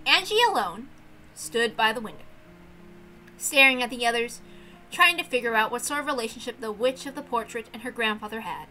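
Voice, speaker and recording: Casting: woman with a high, bright voice, excitable and animated, casual read-aloud host over faint music. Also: brisk, poor audio. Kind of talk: casual chat and visual novel read-aloud.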